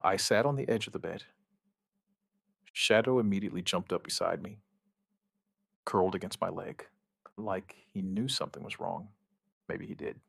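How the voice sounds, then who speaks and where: A man narrating a scripted first-person survivor horror story, gravely and in short, measured phrases with dramatic pauses. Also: sits low, fairly even intonation, a bit bright, no room sound, studio quality.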